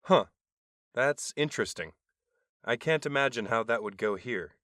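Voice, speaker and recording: A man voicing an alien right-hand man who speaks formally, earnestly and with a deadpan, literal manner. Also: medium pitch, moderate pace, no echo, clean studio sound, lightly acted.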